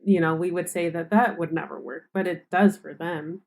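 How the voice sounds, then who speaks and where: A woman who grew up speaking Spanish first, talking as a podcast guest in a casual, thoughtful way. Her voice is fairly low for a woman. She murmurs agreement often while the other speaker talks. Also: fairly even intonation, moderate pace, gravelly. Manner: frequent soft back-channel agreement. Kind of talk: casual conversational interview.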